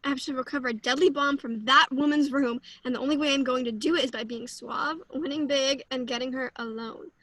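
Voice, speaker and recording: Casting: woman as cocky secret agent, high dry-sounding voice, self-important, comedic stage script. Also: fairly even intonation, fairly quick, hardly any pauses, fairly bright tone, dry recording. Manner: self-important, flustered when challenged. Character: cocky, would-be suave secret agent gambler. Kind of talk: comedic live play reading.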